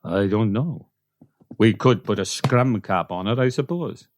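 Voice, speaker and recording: A man's voice: lowish voice, animated, a little slow, darkish tone. A man playing an awkward, plain-spoken Welsh sheep farmer in a comic radio play. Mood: matter-of-fact worry. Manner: hesitant, matter-of-fact.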